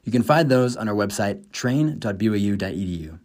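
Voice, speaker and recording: A man's voice: on the low side, expressive, brisk, darkish tone. A young man in his twenties, an American podcast host, reading his own script with an upbeat, enthusiastic manner. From United States. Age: 20s.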